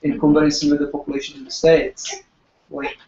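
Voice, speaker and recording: Creaky-voiced audience member in echoey room. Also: mid-range voice, little pitch movement, brisk. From Czech Republic. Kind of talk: casual question and discussion. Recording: echoey room, dull sound, crowd.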